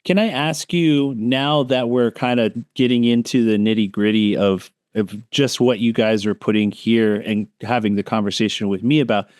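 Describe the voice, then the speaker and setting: Rambling podcast host with dry sound. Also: male voice, fairly low pitch, steady tone, moderate pace, hardly any pauses, darkish tone, dry recording. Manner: rambling, long searching questions. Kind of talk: casual interview. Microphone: remote call.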